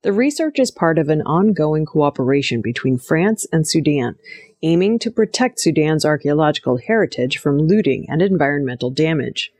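A woman's voice: sits low, a little mellow, no room sound. A woman reading scripted news narration as the presenter of an audio news program. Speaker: news reader.

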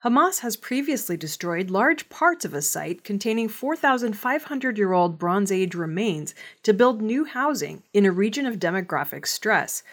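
A woman reading scripted news in a measured, formal delivery. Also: medium pitch. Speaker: news reader.

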